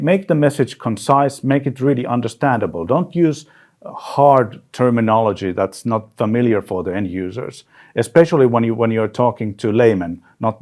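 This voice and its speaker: Halting, low-voiced man. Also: darkish tone. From Finland. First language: Swedish. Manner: halting, many repeated words and fillers. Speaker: webinar presenter. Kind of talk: webinar presentation.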